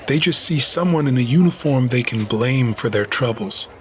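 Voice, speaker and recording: A man playing a hard-nosed, distrustful police officer in an audio drama, speaking in a gruff, sardonic way. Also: mid-range voice, lively intonation, normal speed, a bit bright, natural voice. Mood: resentful. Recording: noisy outdoor city street ambience. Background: urban outdoor ambience.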